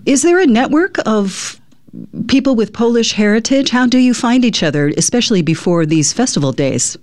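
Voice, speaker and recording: A woman's voice: a little high, expressive, moderate pace, darkish tone, no echo. A woman hosts a radio interview with a friendly, upbeat manner. The recording is dry and close, with no room sound. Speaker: radio host.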